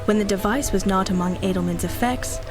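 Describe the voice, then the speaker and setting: A woman's voice: medium pitch, fairly quick, music in the background, lightly acted. An actress playing a cynical, ruthless female mercenary in a 1930s-style radio drama, speaking with arch, mocking condescension. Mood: smug, teasing.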